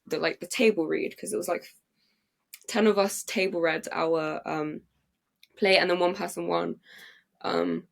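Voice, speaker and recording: A young English woman, a playwright and actress, telling her story in an excited, chatty way with many fillers and restarts. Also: on the low side, gravelly. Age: young adult. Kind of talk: casual interview storytelling. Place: podcast recording.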